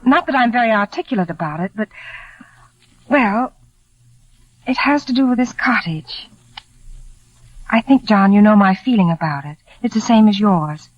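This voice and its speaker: An actress playing a gentle, earnest woman in a 1940s radio drama, speaking haltingly and sincerely. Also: medium pitch, animated, dry recording, natural voice. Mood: earnest, wondering. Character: earnest, gentle woman sharing a mysterious wonder. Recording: dull vintage 1940s radio recording.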